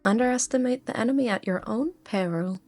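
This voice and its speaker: A woman's voice: fairly high pitch, a little fast, darkish tone, no room sound, soft background music. A woman playing a sly, teasing commander. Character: sly, confident female commander. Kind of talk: amateur fan dub acting.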